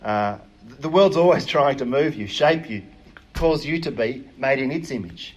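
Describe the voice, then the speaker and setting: A man preaching a sermon in an earnest, emphatic way, often pausing with hesitations. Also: medium pitch, lively intonation.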